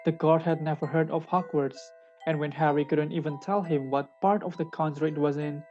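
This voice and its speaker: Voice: steady tone, normal speed, a little mellow. A young adult reader giving a dramatic reading of a children's fantasy novel. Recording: dull low-fidelity recording with faint music.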